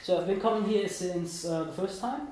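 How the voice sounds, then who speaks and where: A man's voice: high voice, normal speed, darkish tone. A man in his twenties from Germany and Italy gives an informal live presentation in a hesitant, rambling, self-deprecating way. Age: 20s. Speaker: student presenter giving a talk. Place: indoor room at a small meetup. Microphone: distant room microphone. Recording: echoey room, distant mic, background noise.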